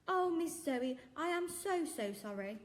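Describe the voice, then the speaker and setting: A woman voicing a big, jolly, kind-hearted shopkeeper who fusses warmly and scolds softly in a storybook reading. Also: sits high, lively intonation, measured pace, pitched above the performer's own voice. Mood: tender. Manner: warm, fussing, softly scolding. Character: big jolly kindly male shopkeeper, sentimental.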